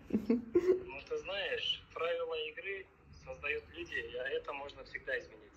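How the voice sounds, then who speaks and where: A man's voice: fairly high pitch, big pitch swings, unhurried, a bit bright. A man chatting casually and flirtatiously in a tentative way, heard over a phone on speaker. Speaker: admirer on a phone call. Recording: noisy phone call on speaker.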